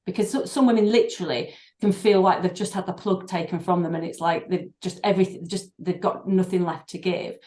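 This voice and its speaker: A middle-aged woman, a doctor and breathing therapist, speaking in a podcast interview, thoughtful and halting with many fillers and restarts. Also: lowish voice, steady tone, warm tone. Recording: echoey room.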